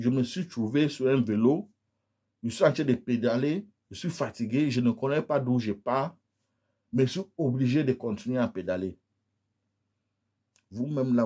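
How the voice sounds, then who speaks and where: A husky-voiced man in his thirties with a Cameroonian Anglophone accent, speaking close to a phone camera in a small lit room. His delivery is animated and scolding, stacking rhetorical questions one after another. His pitch sits at an ordinary middle level for a man. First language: English. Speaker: political vlogger. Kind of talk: impassioned commentary to camera. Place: small room indoors with colored lights.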